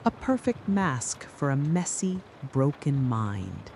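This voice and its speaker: A narrator delivering a scripted, dramatic late-night soliloquy in a deliberate, brooding way, speaking straight to the listener. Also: mid-range voice, very animated, creaky, a little mellow, slight background noise. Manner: slow, deliberate, brooding second-person address.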